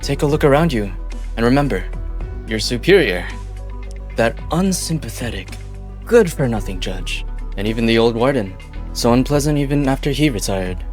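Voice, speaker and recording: A man acts out a scheming businessman in a game fan dub. He coaxes and insinuates theatrically at a middling male pitch. Soft music plays underneath. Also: lively intonation, normal speed, natural voice. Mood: insinuating. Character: smooth-talking, scheming corporate businessman offering bribes. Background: background music.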